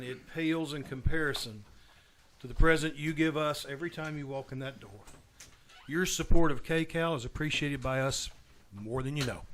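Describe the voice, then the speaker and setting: A man's voice: fairly high pitch, a bit bright, slight background noise. A man hosting a live stage show, speaking to a theater audience as announcer. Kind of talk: curtain-call address to a live audience.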